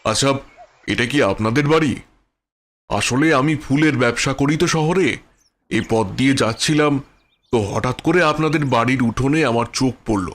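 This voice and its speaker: A man voicing a courteous, brisk city flower merchant in a cartoon, politely explaining himself. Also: mid-range voice, a little hiss or hum, close to an everyday voice. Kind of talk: cartoon fairy-tale voice acting.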